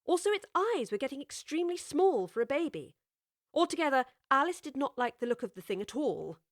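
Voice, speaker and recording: A woman narrating a children's classic in a measured, clear storytelling manner, recorded on a studio microphone. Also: high voice, big pitch swings, no room sound.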